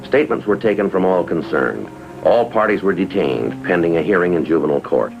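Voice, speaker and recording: A man's voice: sits low, animated, fairly quick, gravelly. An American man playing a stoic, businesslike police detective in a 1950s drama, speaking in a clipped, matter-of-fact way. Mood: flat, official. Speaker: police detective lieutenant and narrator. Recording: dull vintage TV soundtrack, music behind.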